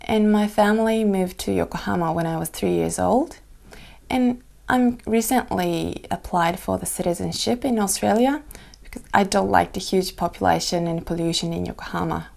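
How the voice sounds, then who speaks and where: Low gravelly-voiced young woman candidate. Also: moderate pace, a little mellow. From Japan. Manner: simple careful phrasing with small grammar slips. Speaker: test candidate. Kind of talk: mock speaking exam answers.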